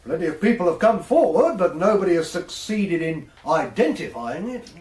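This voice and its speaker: High-voiced inspector, echoey. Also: male voice, very animated, moderate pace, noisy background. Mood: stiff, dry. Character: dogged male police inspector testifying, stiff, matter-of-fact. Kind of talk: British radio drama acting.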